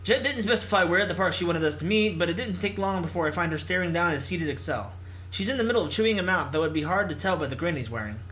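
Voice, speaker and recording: A man hosting a let's play, reading a visual novel aloud in an expressive narration. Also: sits high, quick pace. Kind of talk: expressive read-aloud narration with casual asides.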